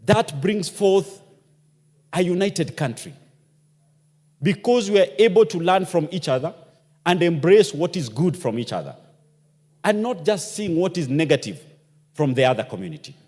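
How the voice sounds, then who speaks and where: A man's voice: on the high side, sing-song, normal speed, long pauses, darkish tone. A man giving an impassioned parliamentary speech, asking rhetorical questions. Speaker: member of parliament. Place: parliament chamber. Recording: long hall reverb.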